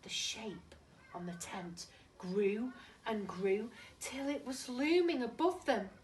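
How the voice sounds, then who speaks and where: High-pitched woman, animated storybook reader, unhurried, dramatic, slightly distant mic. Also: a little hiss or hum. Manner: animated, dramatic, addresses young listeners with questions. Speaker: primary school teacher reading to children. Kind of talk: expressive children's storybook reading.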